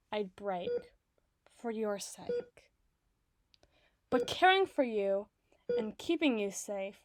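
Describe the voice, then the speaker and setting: A woman playing an obsessive, doting doctor in an ASMR roleplay, speaking softly and articulately with theatrical tenderness. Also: mid-range voice. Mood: tender, possessive. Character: obsessive, doting yandere woman doctor, soothing but possessive. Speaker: audio roleplay voice performer.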